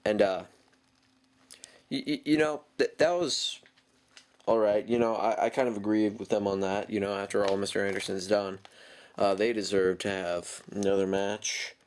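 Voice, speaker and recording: A man's voice: on the low side, steady tone, normal speed. A man with a cold, talking through a casual wrestling show review with lots of ums and self-corrections. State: stuffy from a cold.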